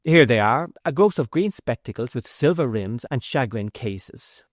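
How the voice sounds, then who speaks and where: A man's voice: mid-range voice, lively intonation, moderate pace, no room sound, studio quality, natural voice. A man reading aloud, voicing a sly young son who speaks in a pleased, matter-of-fact way.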